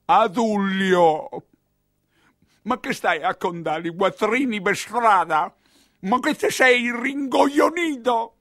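Man playing shrill scolding old Roman woman. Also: lively intonation, slow pace, a bit bright, dry recording, clean studio sound, full character voice. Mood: outraged, scolding. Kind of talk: theatrical monologue character voice.

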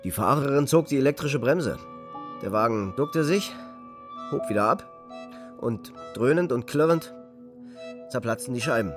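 A man's voice: medium pitch, animated, unhurried, pauses often, natural voice. A man reading dramatic scripted literary narration as a storyteller. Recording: music under the voice.

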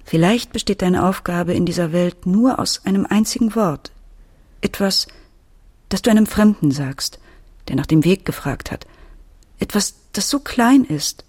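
A woman's voice: medium pitch, lively intonation, measured pace, darkish tone, breathy edge, no echo, clean studio sound. An actress playing a worried, weary but firm middle-aged mother, acting and narrating in a radio drama. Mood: consoling, thoughtful.

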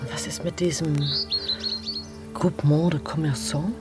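An actress playing an assertive, tenacious newspaper journalist in a radio drama, direct and self-assured. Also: female voice, low-pitched, fairly even intonation, moderate pace, over music, lightly acted. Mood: probing. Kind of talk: German radio drama acting.

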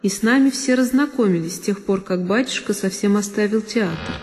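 A woman actress giving an expressive, reflective narration in a one-woman audio play. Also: medium pitch, brisk, background noise. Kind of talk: scripted literary narration. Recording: long reverb with violin music. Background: solo violin.

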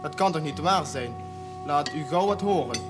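An actor playing a villager who reads a letter aloud in a television drama. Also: male voice, a little high, animated, normal speed, natural voice. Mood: plain reading. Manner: reading aloud. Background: music.

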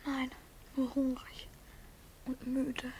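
Timid, high-voiced orphan boy. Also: completely flat delivery, takes it slowly, a little mellow. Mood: weary, sad. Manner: breathy, hesitant, plaintive. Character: frail, timid runaway orphan boy, hungry and weary. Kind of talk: 1950s German radio drama acting. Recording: noisy 1950s radio recording.

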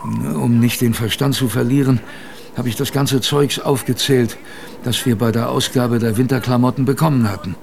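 An actor as an earnest, gravelly adventurer looking back. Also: male voice, medium pitch, steady tone, darkish tone, slight background noise, close to an everyday voice. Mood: reflective, weary. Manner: conversational storytelling with pauses and repetitions. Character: man recalling his teenage Antarctic expedition, earnest and plain-spoken. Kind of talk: first-person radio drama narration.

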